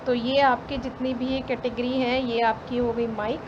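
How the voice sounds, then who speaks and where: An Indian woman speaks in a high-pitched voice, teaching in an explanatory way. She goes over each point step by step and restates it. The recording has clear noise behind her. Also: little pitch movement, normal speed, lo-fi sound. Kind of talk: explanatory slide lesson, reading definitions aloud and explaining them. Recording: clearly noisy background throughout.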